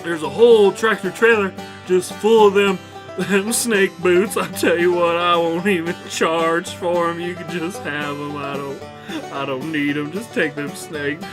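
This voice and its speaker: A man voicing a blunt, foul-mouthed snake rancher, rambling haltingly through an improvised comedy eulogy. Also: high voice, fairly even intonation, over music. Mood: mock-sorrowful. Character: gruff, foul-mouthed male rattlesnake rancher, rambling and bitter.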